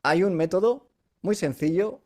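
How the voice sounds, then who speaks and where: A man's voice: sits high, unhurried, a little mellow. A man with a Spanish accent from Spain, a voice coach chatting as a podcast guest and explaining with everyday examples and check-in questions. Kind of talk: casual explanatory interview chat.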